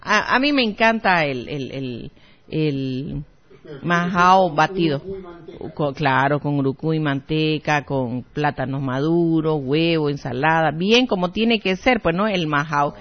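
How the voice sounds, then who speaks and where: A man's voice: on the high side, measured pace. A Camba host from Santa Cruz chatting casually on air. He talks in a loose, hesitant way with many fillers. His voice is slightly muffled and lo-fi. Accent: Bolivian Camba, Santa Cruz. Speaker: radio or TV host.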